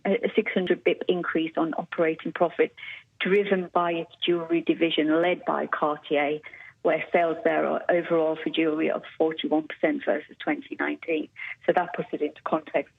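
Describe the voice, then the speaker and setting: A woman's voice: sits low, level tone, brisk, creaky, slightly rough. A woman analyst answering interview questions in an analytical way, full of figures and with frequent "um"s, over the phone. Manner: analytical, numbers-heavy, with "um"s. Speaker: senior luxury analyst, guest. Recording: tinny phone line.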